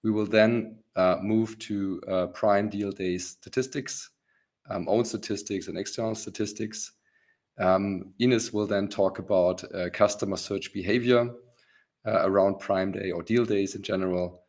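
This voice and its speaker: A hoarse man hosting a business webinar, talking with many pauses, ums and restarts. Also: lowish voice, steady tone, a little mellow. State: rough, hoarse voice. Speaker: webinar host, company founder.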